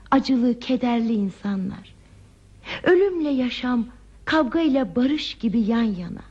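A woman playing a curious, romantic visitor in a radio play, with expressive, dreamy, theatrical phrasing. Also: sits high, breathy edge, lo-fi sound, heavily acted. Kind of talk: Turkish radio theatre acting.

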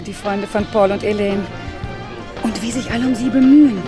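An actress playing a chatty, gossiping carer who talks on and on. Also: female voice, mid-range voice, lightly acted. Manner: talkative, gossipy. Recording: outdoor party with loud music and crowd.

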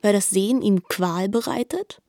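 A woman narrating a literary radio drama in a measured, formal, questioning manner. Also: mid-range voice, warm tone, dry recording, studio quality.

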